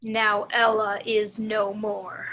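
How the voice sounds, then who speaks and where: A woman voicing a possessed, motherly woman with slow, deliberate, soothing menace in an amateur table read. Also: medium pitch, completely flat delivery, pushed and bright, slight background noise, low-quality recording. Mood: ominous, soothing. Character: sinister motherly woman possessed by a vengeful ghost.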